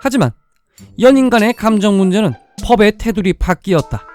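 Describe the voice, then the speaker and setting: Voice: medium pitch, lively intonation, brisk, darkish tone. A storyteller reading a dramatic science-fiction tale in a scripted narrating style. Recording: music under the voice. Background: background music.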